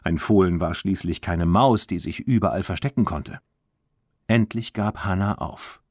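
A man reading a children's audiobook as an expressive, clearly enunciated scripted narration. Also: low-pitched, measured pace, dry recording, clean studio sound.

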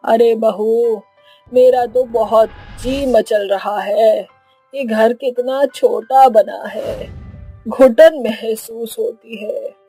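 A woman's voice: a little high, fairly even intonation, extremely slow, mellow, husky, soft background music, heavily acted, low-quality recording. A woman voicing a playful, childlike elderly mother-in-law in a cartoon, drawling and exclamatory. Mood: fussy. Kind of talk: Hindi cartoon voice acting.

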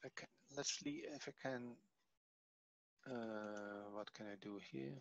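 A man giving an online language lesson, reading from a textbook and explaining it in a halting, explanatory way. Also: fairly low pitch, vocal fry, highs cut off. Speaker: Hebrew teacher. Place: online video call.

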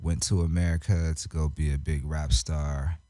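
A man's voice: very deep voice, little pitch movement, normal speed, warm tone, no room sound. A young man from Japan, a rapper and artist, talking in a laid-back, slangy way as a guest in a radio studio. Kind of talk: casual interview chat. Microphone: studio mic on boom arm.

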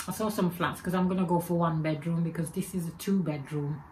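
Low-voiced birmingham woman rambling to her webcam at home, bit of jamaican and egyptian in the accent, keeps correcting herself. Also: steady tone, a little fast, darkish tone, a little hiss or hum, low-quality recording. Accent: Birmingham English with Jamaican and Egyptian traces. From England. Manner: rambling, self-correcting, chatty. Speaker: vlogger. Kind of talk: casual chat to camera. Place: home room with spooky shelves, indoors. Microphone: webcam or phone camera at desk.

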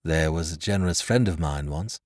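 An earnest young sailor from a Victorian storybook, voiced by a male reader. He is measured, dignified and sincere, pitched low. The recording is dry and close. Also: no echo. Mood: earnest, solemn.

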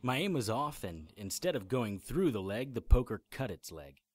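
An actor playing a grim hero who narrates his battles in measured first-person radio drama storytelling. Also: medium pitch, sing-song, soft background music. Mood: grim, tense.

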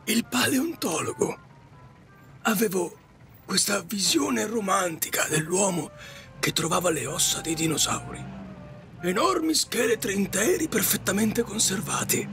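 Casting: male actor as weary cabbie, regretful dreamer, polite and hesitant, slightly high voice, audio drama with music behind. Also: sing-song, a little slow, fairly bright tone, full character voice. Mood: resigned, reflective. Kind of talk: Italian audio drama acting.

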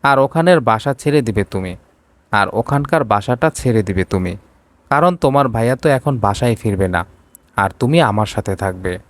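A man's voice: fairly low pitch, lively intonation, normal speed, no echo, natural voice. A man voicing a curt, commanding young rich businessman in an audio romance story. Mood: businesslike.

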